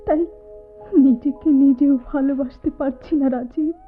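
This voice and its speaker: A woman's voice: sits high, fairly even intonation, faint music behind, lightly acted. An actress playing an anguished, bitter young woman in an emotive, theatrical style of radio drama. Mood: bitter, tearful. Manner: emotive, dramatic, halting repetitions. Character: anguished, bitter young woman, disfigured, speaking to her former love. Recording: tinny, phone-like old radio drama recording, noisy.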